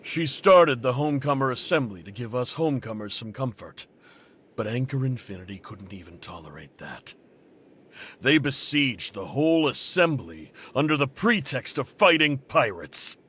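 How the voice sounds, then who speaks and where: A man's voice: medium pitch, big pitch swings, moderate pace, a bit bright, a little hiss or hum. A man voicing a bitter, manipulative faction leader in an anime game, theatrical and full of pointed questions. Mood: rousing, bitter.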